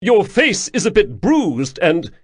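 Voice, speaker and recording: A man voicing a wary veteran army captain who speaks deferentially and haltingly. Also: sits high, very animated, slightly rough, pitched above the performer's own voice, heavily acted.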